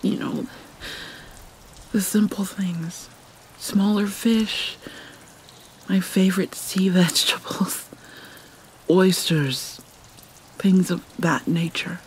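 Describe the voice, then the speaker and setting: Casting: woman to play haunted vampire mermaid princess for ASMR drama; slow, theatrical, breathy near-whisper, light rainy ambience behind. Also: mid-range voice, steady tone, a little mellow, slight background noise, lightly acted, poor audio. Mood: anguished, haunted. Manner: halting, theatrical, mournful. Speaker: roleplay voice actor. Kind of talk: dramatic ASMR roleplay monologue.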